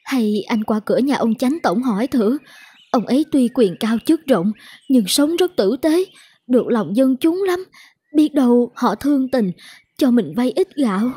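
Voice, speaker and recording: Anxious peasant wife, high but very husky, plaintive, for a cartoon folk tale. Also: female voice, expressive, a little slow, dark tone, no room sound, full character voice. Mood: worried, resigned. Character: poor, anxious, soft-hearted peasant wife.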